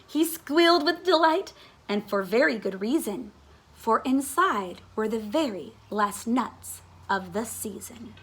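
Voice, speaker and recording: A woman reading a children's storybook aloud in an expressive, dramatic way with deliberate, emphatic phrasing. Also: on the high side, a little hiss or hum.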